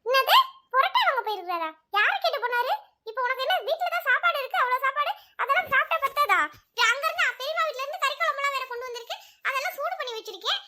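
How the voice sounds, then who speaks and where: A woman's voice: very high voice, animated, racing, background noise. A woman voicing a practical mother who scolds and nags her children. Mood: scolding. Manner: scolding, nagging.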